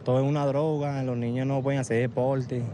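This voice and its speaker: A man's voice: mid-range voice, little pitch movement, a little fast, noisy background. A man from Venezuela with a Venezuelan accent, interviewed outdoors at a camp, talking in a rambling, informal way full of slang.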